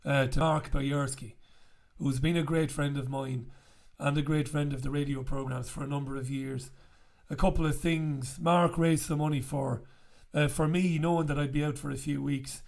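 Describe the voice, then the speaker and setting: A croaky, gravelly-voiced man hosts a casual talk to camera from a small room, speaking into a studio mic. His voice sits a little high for a man, and his talk is blunt and chatty, with the odd swear word. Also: fairly even intonation, normal speed, darkish tone. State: croaky after illness. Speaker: host. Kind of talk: casual direct-to-camera chat. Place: small bright room indoors. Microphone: studio mic on stand in front.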